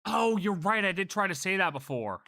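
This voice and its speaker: A man giving casual live game commentary, thinking aloud in an animated, cocky way. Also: sits high, fairly quick, gravelly, slight background noise. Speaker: game streamer.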